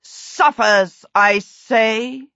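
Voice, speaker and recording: A woman's voice: fairly high pitch, unhurried, a bit bright, no room sound, muffled recording, voice pushed up. A woman voicing a haughty, menacing prince in a storybook, imperious and theatrical. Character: haughty, menacing villain prince, male, dramatic and disgusted.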